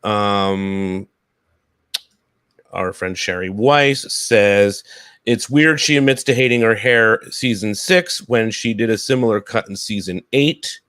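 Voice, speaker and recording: A man's voice: on the low side, takes it slowly, a bit bright, smooth, dry recording, clean studio sound. A middle-aged man hosting a casual live podcast chat. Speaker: podcast host. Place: small room at home, indoors.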